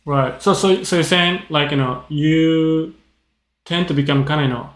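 A man from Japan, hosting a casual chat podcast in English and speaking haltingly, with many fillers. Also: a little high. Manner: halting, leans heavily on "you know". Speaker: podcast host. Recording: roomy echo.

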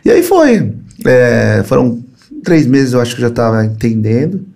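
A man's voice: mid-range voice, normal speed, gravelly. A middle-aged man from Brazil, a company CEO, telling stories animatedly as a podcast guest, dropping "cara" and "né" often. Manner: animated storytelling, frequent "cara" and "né". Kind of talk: casual podcast conversation. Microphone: podcast studio mic.

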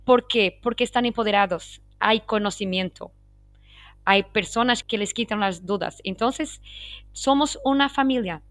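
A woman's voice: medium pitch, a little slow, no echo. A woman from Portugal whose native language is Portuguese, speaking Spanish with a Portuguese accent as a guest pharmacist. She talks in a heartfelt way and repeats words as she searches for them. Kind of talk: casual conversation.

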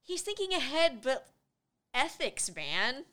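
A woman's voice: high voice, big pitch swings, slow pace, fairly bright tone. Chatty woman recapping a movie, laughing. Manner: laughing often, acts out movie lines. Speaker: podcast co-host. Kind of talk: casual movie recap chat.

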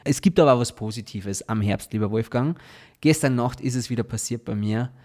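A man's voice: medium pitch, fairly quick, a little mellow. Austrian man with a stuffy nose. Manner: cheerful, laughs often, chatty storytelling. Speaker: podcast host. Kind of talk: casual chat.

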